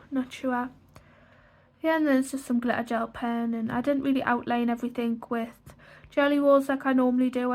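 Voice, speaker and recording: A woman's voice: high-pitched, darkish tone. A young woman, stuffy from a cold, chatting casually through a colouring book flip-through with lots of "like" fillers. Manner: rambling, many "like" fillers and restarts. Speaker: colouring channel host.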